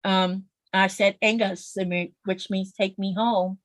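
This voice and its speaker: A woman's voice: mid-range voice, level tone, takes it slowly, dry recording. A woman with a Southern US accent, chatting casually on a livestream in an explanatory, folksy way. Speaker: quilter guest on a livestream.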